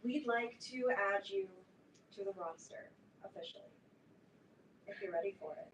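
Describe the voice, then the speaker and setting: A young woman in a staged reading, playing a bossy, teasing teenage club leader with a brisk, wry manner. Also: medium pitch, lightly acted. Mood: businesslike. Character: bossy, teasing teenage girl leading fan-fiction club. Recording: echoey stage, distant mic, background noise.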